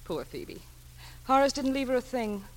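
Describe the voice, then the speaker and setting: A woman's voice: sits high, expressive, moderate pace, rough recording. An actress playing a forward, flirtatious wealthy married woman, coolly direct and teasing, in classic radio drama style.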